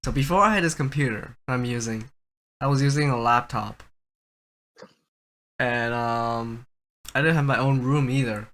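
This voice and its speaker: A young man hosting a casual podcast, telling stories in a rambling, halting way. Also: medium pitch, a bit bright. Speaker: podcast host.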